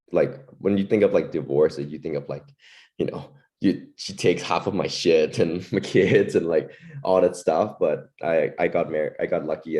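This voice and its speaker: A man's voice: extremely low pitch, mellow. A young man from Thailand, a wedding photographer, chatting casually as a guest, loose and laid-back with many fillers. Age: young adult. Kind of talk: casual interview chat.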